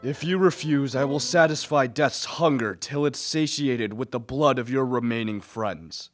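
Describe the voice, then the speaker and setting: A spurned, lonely creature, acted by a man, speaks slowly and with earnest weight. It is a radio drama performance with soft music underneath. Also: medium pitch, lightly acted. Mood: menacing. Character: lonely, spurned man-made monster, pleading, menacing, childlike when newborn. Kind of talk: dramatic radio play acting.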